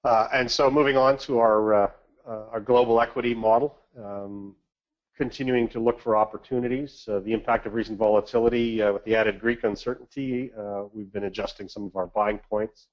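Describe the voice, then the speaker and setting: Low-voiced man on dull conference-call audio. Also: fairly even intonation. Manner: halting, hesitant delivery with measured, businesslike phrasing. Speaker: investment manager presenting market commentary. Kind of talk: prepared market briefing on a conference call. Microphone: conference call line.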